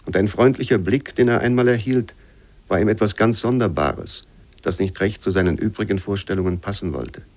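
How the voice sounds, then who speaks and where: A man reading a bleak childhood memoir as a quoting voice in a radio essay, measured and sober. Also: lowish voice, darkish tone. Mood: sober, grave. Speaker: reader of literary quotations. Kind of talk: scripted literary reading for radio.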